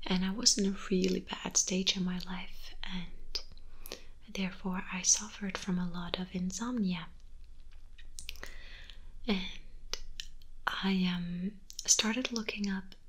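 A Danish woman in her twenties, whose first language is Danish, softly whispering answers to viewer questions in an ASMR video from her bedroom. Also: medium pitch. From Denmark. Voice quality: breathy whisper. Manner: soft, slow, unhurried whispering. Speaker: ASMR creator. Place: bedroom, indoors.

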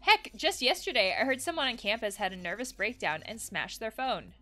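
A woman's voice: fairly high pitch, lively intonation, brisk, sharp tone. A woman voicing a breezy, friendly young party thrower from a game, casual and reassuring. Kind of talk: game dialogue read aloud.

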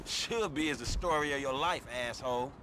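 A man's voice: medium pitch, fairly quick, sharp tone, lightly acted, rough recording. An actor playing a wary, exasperated young male gangster in a video game, grumbling in profane, slangy street talk. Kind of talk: video game cutscene acting. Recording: game sound effects and noise behind.

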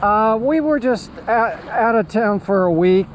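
An older American man with a fairly high voice narrates a casual vlog. He speaks slowly and haltingly, recorded outdoors on a handheld camera with faint noise around him. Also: animated, highs cut off. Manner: halting, unhurried. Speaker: amateur radio operator and vlogger. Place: outdoors at a beachside park. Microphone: handheld action camera or phone at arm's length.

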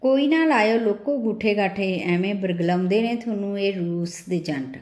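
A woman's voice: mid-range voice, moderate pace, darkish tone, close to an everyday voice. A woman voicing mocking, grumbling village men in an audio story, with colloquial rustic speech. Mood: scornful. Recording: roomy, echoing voice recording.

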